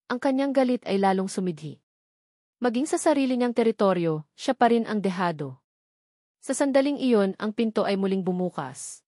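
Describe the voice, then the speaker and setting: A woman reading a dramatic story in measured, scripted narration. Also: mid-range voice, animated, mellow, dry recording, clean studio sound.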